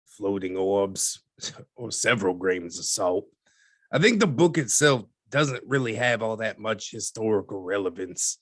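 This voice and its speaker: A man playing a skeptical, folksy newspaperman as a podcast guest, speaking in a measured, wry and slightly condescending way. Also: on the low side, animated, a bit bright, dry recording. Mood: wry skepticism. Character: skeptical, folksy, wryly dismissive male newspaperman. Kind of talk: scripted podcast interview drama.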